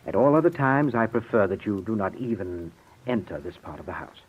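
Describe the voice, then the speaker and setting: An actor in an old radio drama playing a moody, scheming composer, formal and easily irritated. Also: male voice, on the low side, lively intonation, fairly quick, slightly rough. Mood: formal, aloof. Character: moody, imperious, scheming composer, brother of dead sisters. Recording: muffled old radio recording.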